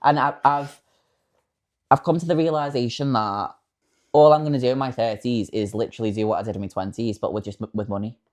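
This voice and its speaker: A man's voice: medium pitch, fairly quick. A man in his late twenties co-hosting a podcast, talking in an earnest, opinionated way. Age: late 20s. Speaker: podcast co-host. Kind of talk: casual chat.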